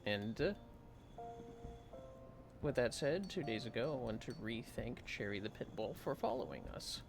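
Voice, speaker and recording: A man hosting a game livestream, giving casual, rambling commentary in a soft, hushed voice. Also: medium pitch, very animated, measured pace, creaky. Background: music.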